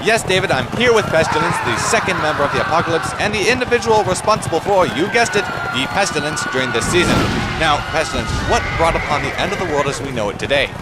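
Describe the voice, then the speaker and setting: An actor playing an excitable sports field reporter with brisk, urgent delivery in a parody broadcast. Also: male voice, fairly high pitch, lightly acted. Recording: very noisy outdoor remote report.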